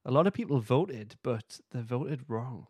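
A man's voice: mid-range voice, animated, measured pace, a little mellow, husky, no echo, clean studio sound. A man hosting a podcast, chatting casually in an informal, understated way. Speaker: podcast host. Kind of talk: casual review chat.

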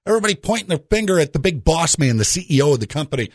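A man's voice: a little high, sing-song, racing, no echo, clean studio sound. A man hosting a radio show with a jokey conversational monologue, putting rhetorical questions straight to the listener.

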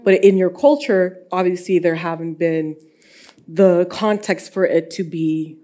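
Low-voiced woman speaking on a panel. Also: a little slow, dark tone. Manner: thoughtful, hesitant starts. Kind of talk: panel discussion.

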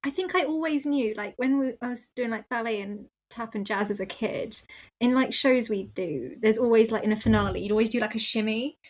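A woman's voice: fairly high pitch, fairly quick. A woman in casual chat, giving her commentary informally and thinking aloud.

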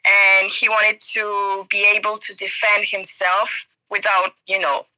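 A young woman with a French accent talks through her family story in English, pausing on fillers and restarting her sentences. Her voice is very bright and light, and she laughs easily as she speaks. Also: medium pitch, little pitch movement, poor audio. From France. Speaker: interview guest. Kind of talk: casual interview conversation.

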